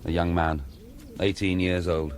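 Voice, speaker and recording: An actor playing a proud, compassionate priest in a radio drama, speaking in a measured, eloquent way. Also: male voice, low-pitched, little pitch movement. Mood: grave, sorrowful.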